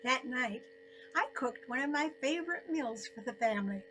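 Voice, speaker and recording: An elderly woman narrating her own scripted personal story in deliberate phrases with frequent pauses. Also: sits high, lively intonation, pushed and bright, soft background music, poor audio. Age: 70s or older.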